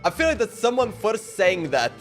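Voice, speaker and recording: A man giving casual live commentary while gaming, halting and repeating words as he goes. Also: sits high, big pitch swings. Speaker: game livestreamer. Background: faint game music.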